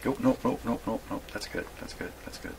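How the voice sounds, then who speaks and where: A man giving a casual hands-on workshop demonstration, thinking aloud in a halting mutter and repeating his words. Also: on the low side, darkish tone. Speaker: hobbyist radio repairer presenting his workshop video. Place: radio repair workshop. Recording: clearly noisy workshop recording.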